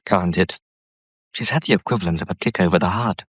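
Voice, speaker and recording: An actor in a radio drama playing a grave, matter-of-fact doctor with a dry, measured, authoritative manner. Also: male voice, deep voice, slightly rough, no echo. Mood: grave, clinical. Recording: slightly dull, dry studio sound.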